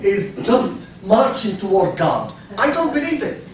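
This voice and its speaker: A man from Syria, giving a talk, halting and repeating words, asking the audience questions. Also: high-pitched, sing-song. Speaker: speaker. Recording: echoey hall, from far off, faint noise.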